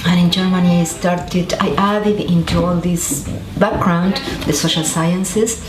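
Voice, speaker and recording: A woman's voice: fairly low pitch, steady tone, unhurried. A woman from Argentina, a scientist, answering interview questions thoughtfully with frequent restarts and fillers. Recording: echoey room with faint noise.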